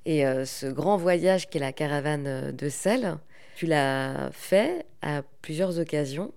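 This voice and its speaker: A woman interviewer asking measured, precise questions. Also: sits low, lively intonation, gravelly, darkish tone, clean tone.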